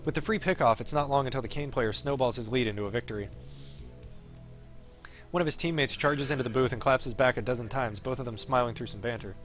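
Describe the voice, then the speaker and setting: A man's voice: mid-range voice, fast talker, fairly bright tone. A man reading a visual novel aloud on a stream, in dramatic narration with an esports commentary flavour. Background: faint game music.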